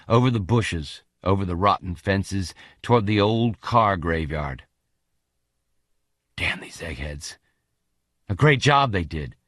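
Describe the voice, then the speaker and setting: Low-voiced man narrating a novel, very dry sound. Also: fairly bright tone, dry recording. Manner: gritty, colloquial, dramatic storytelling. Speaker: audiobook narrator. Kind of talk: scripted first-person fiction narration.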